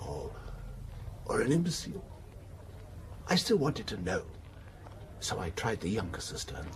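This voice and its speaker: An actor playing a rich, wronged man making an anguished confession in a radio drama, in halting, clipped phrases. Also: deep voice, background noise. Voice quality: wheezy.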